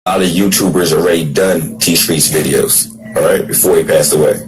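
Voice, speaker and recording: Very low-voiced man over music. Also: fairly even intonation, brisk, rough recording. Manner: loose, repeats "all right". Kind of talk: casual commentary. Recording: clear music behind the voice.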